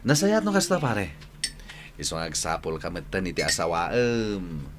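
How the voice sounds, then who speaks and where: An actor playing a jovial, teasing male buddy in a radio drama, chummy and animated, with an Ilocano Filipino accent. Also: low-pitched, normal speed, noisy background. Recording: music behind dialogue.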